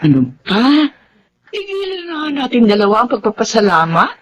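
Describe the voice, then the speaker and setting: An actress playing a warm, chatty, generous woman homeowner in a Filipino radio drama, speaking with a Filipino accent. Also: a little high, sing-song, moderate pace, a little hiss or hum. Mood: warm, insistent.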